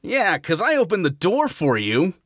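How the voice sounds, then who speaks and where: A man's voice: high voice, huge pitch swings, normal speed, fairly bright tone, dry recording, studio quality, exaggerated voice. A man voicing an eager, friendly young male hedgehog in a fan audio drama, easygoing and upbeat.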